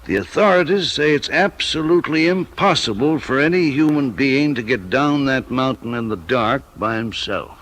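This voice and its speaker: A man acting a grave radio-play doctor. He speaks in a mid-pitched voice, measured and matter-of-fact. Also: fairly bright tone, natural voice. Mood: grave, puzzled.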